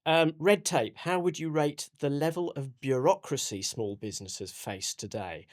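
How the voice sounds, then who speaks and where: A man's voice: on the high side, normal speed. Male podcast host, conversational interviewer.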